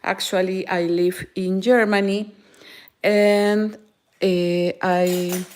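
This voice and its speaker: Woman, Peruvian Spanish accent, native Spanish speaker, crochet teacher, live tutorial, slow unhurried pace, halting speech with word-searching pauses. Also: medium pitch, level tone, darkish tone, clear, resonant tone. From Peru. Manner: halting, searching for words, frequent "eh" fillers.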